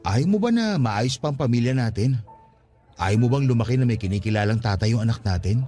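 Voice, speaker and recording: A man's voice: medium pitch, lively intonation, a little fast, dark tone, lightly acted. An actor with a Filipino accent playing a remorseful, estranged young father who earnestly begs for a second chance in a radio drama. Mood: pleading. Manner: earnest, imploring. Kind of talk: Tagalog radio drama acting. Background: faint background music.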